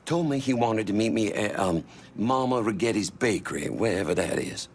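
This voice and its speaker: A man's voice: fairly low pitch, expressive, moderate pace, slight background noise. A man playing a fussy, chatty, easily indignant small-time salesman in a crime game, with folksy, mild-mouthed turns of phrase. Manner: folksy, mincing oaths, hesitant then indignant. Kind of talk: dramatic video game acting.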